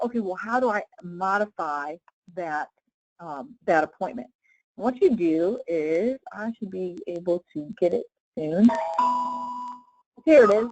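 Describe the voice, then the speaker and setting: A woman leading a casual live software tutorial as a trainer, explaining step by step in an informal way with frequent check-in questions. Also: fairly low pitch, no echo, rough recording.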